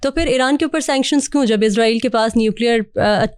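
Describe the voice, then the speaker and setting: Higher-pitched woman hosting a talk show. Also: brisk, smooth, no room sound. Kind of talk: political interview.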